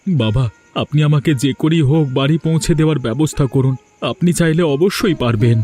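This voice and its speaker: A man's voice: fairly high pitch, brisk, mellow, faint music behind, close to an everyday voice. A man voicing a distressed, grateful, stranded village gold seeker in a cartoon. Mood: pleading. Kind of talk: Bengali moral-tale cartoon dubbing.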